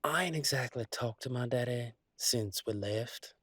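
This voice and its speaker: A man playing an easygoing, affectionate country werewolf boyfriend, talking in a folksy, halting way in an intimate roleplay audio. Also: medium pitch, a bit bright, husky, dry recording, clean studio sound. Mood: hesitant, uneasy. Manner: folksy, drawling slang, halting asides.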